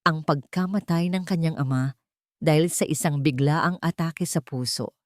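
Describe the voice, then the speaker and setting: Casting: woman with a low voice for solemn, scripted romantic drama narration, very dry sound. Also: darkish tone, no room sound. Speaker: storyteller.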